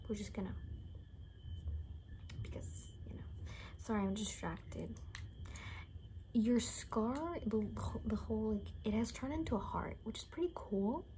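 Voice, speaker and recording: Young woman whispering asmr roleplay, chatty n rambly, mellow breathy voice, bit of background noise. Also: fairly high pitch, poor audio. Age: young adult. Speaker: ASMR creator playing an attendant. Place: small bedroom indoors.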